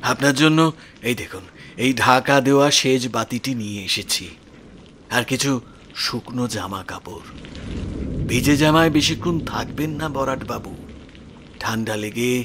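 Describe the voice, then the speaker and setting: Man acting a solicitous old gentleman, rain behind. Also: medium pitch, measured pace, pauses often, a little hiss or hum, lightly acted. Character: solicitous old village gentleman hosting a guest. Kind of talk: Bengali audio horror story acting.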